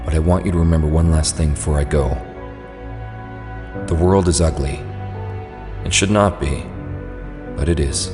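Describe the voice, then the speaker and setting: A man voicing a grave, resolute scientist in a superhero comic dub, speaking in a solemn, measured way. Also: deep voice, natural voice. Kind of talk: dramatic comic dub voice acting. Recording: long hall reverb under loud music.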